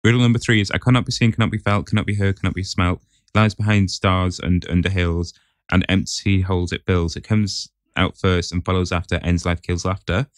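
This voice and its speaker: A man acts as a casual podcast quizmaster. His voice sits a little low, and the sound is very dry and free of room echo. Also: fairly even intonation, brisk. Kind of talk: casual quiz hosting.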